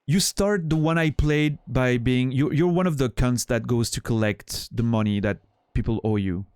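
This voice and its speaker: A man's voice: medium pitch, moderate pace, darkish tone, clear, resonant tone, no room sound. A man from Switzerland with a Swiss French accent, a native French speaker, chatting casually with his stream viewers in a rambling, profane way full of restarts.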